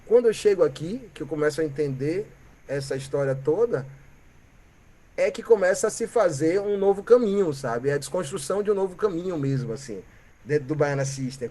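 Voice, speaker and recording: A Brazilian man from Bahia, a musician guest, telling stories in a reflective, animated way and often ending with "né?" or "sabe?". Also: a little high. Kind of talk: reflective conversational storytelling.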